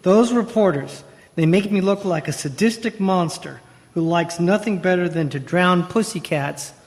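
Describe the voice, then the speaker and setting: A man's voice: high voice, normal speed. A man acting a harried, indignant prosecutor in an old-time radio play, exasperated and emphatic. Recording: reverberant hall, stage radio play.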